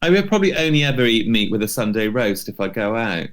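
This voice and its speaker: A man's voice: lowish voice, big pitch swings, quick pace. A man co-hosting a podcast, chatting casually with dry, understated humour. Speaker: podcast co-host. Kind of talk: casual chat.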